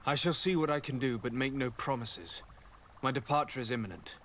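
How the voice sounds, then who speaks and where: Actor as a composed, courteous noble envoy. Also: male voice, medium pitch, a little fast, a bit bright, slight background noise, natural voice. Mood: composed, inquiring. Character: polite young nobleman serving as legate, reserved and composed. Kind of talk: formal role-playing game dialogue.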